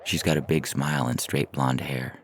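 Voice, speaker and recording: A man reading a first-person short story as a narrator, in a measured, deliberate way, from a script. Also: a bass voice, fairly even intonation, slight background noise. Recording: music bed under the voice. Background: synthesizer music.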